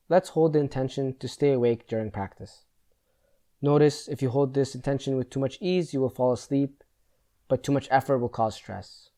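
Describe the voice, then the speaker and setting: A man with a soft, mellow, relaxed voice guides a meditation. His pitch sits in an average male range. He gives gentle, measured instructions as a teacher would.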